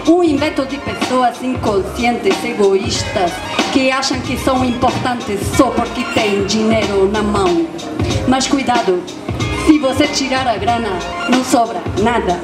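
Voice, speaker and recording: A woman's voice: a little high, measured pace, roomy sound, distant mic, over loud music. A young woman from Argentina with an Argentine accent, declaiming rhythmic spoken word poetry, half sung, on a handheld mic outdoors. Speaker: poet performing. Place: outdoor street square at night.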